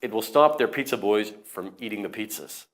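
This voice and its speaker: A man's voice: fairly low pitch, moderate pace, a bit bright. Man reading simple news aloud. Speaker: news reader.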